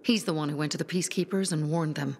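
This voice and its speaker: A woman's voice: low voice, steady tone, fast, a little mellow, slight background noise. An actress playing a determined young woman who leads a resistance in a video game. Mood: grave, resolute. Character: determined young woman, resistance leader rallying her people.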